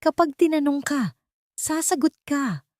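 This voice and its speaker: A woman's voice: high-pitched, lively intonation, measured pace, no echo, studio quality, pitched above the performer's own voice. A woman voicing a cruel, sweet-faced rich woman who taunts and threatens children in an audio drama. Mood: hissed threat. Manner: menacing, taunting.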